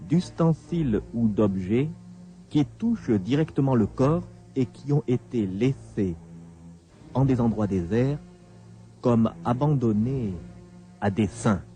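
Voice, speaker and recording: Guy telling a radio tale real slow, mellow voice, dramatic pauses, music behind. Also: fairly low pitch. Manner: measured, deliberate, dramatic pauses. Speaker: storyteller, narrator. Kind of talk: scripted radio drama narration. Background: music, night insects.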